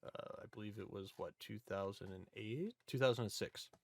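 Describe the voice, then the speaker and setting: A man's voice: lowish voice, a little fast, vocal fry, no room sound. A man hosting a casual podcast discussion, speaking informally and steering the conversation along.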